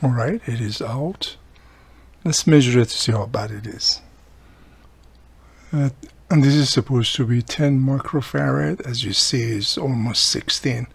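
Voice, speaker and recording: A man's voice: medium pitch, a little slow, vocal fry, husky, no room sound. A man giving a casual hands-on repair demonstration, explaining each step plainly as he works. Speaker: radio repairer and video host.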